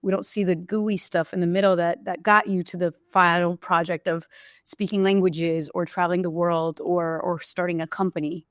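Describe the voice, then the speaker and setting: A woman's voice: lowish voice, fairly even intonation, normal speed, no echo, clean studio sound. A woman from Brazil, a podcast guest, talking openly and reflectively about her life in casual conversation. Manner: reflective, open storytelling. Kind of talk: casual interview chat.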